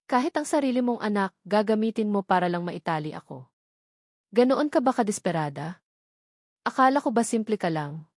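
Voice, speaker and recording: A woman's voice: medium pitch, expressive, normal speed, long pauses, no echo, studio quality, natural voice. A woman voicing a wealthy young man in a Tagalog romance story, cold, scornful and bitterly accusing, with an authoritative manner. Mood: furious, accusing.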